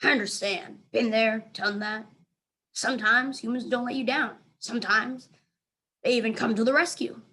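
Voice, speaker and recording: Higher-voiced woman reading a children's story. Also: fairly even intonation, moderate pace, pushed and bright. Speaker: teacher reading aloud for elementary students. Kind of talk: expressive children's storybook narration.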